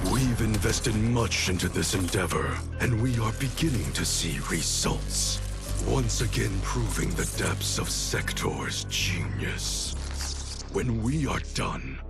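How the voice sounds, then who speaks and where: An actor playing a proud, domineering ninja clan master in a video game, narrating in a grave, formal, imperious manner. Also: male voice, lowish voice, vocal fry, full character voice. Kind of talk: scripted in-character narration. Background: music.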